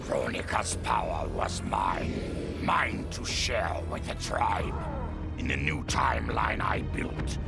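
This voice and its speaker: Gravelly and very slow, a savage monstrous warlord boasts with theatrical menace. His growl is made for a video game story, with clear music behind it. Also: a bass voice, heavily acted. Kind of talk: dramatic video game narration. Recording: loud background music.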